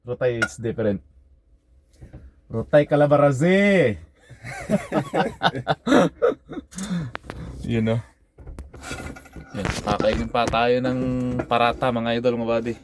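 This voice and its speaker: Excitable Filipino trucker vlogging outdoors. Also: male voice, mid-range voice, slow, pauses often, a little hiss or hum. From Philippines. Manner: friendly, excitable, mixes Tagalog and English. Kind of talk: casual vlog chat. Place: truck parking lot outdoors.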